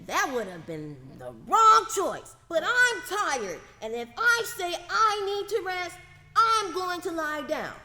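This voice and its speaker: A woman playing an excitable, whiny young boy in a radio drama, easily scared and bratty. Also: very high-pitched, slow pace, in a large hall, faint music behind, heavily acted. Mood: indignant.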